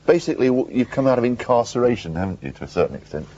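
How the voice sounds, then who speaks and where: A man's voice: medium pitch, very animated, rapid-fire, warm tone, slight background noise. A man interviewing on morning TV, playful and chatty with theatrical asides. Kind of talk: breakfast TV interview. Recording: dull lo-fi broadcast tape.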